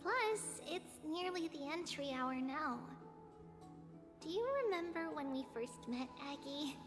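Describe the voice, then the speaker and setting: A woman voicing a gentle, childlike female teacher in a video game, caring and sweet. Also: high-pitched, animated, unhurried. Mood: tender. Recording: long reverb, loud game music behind.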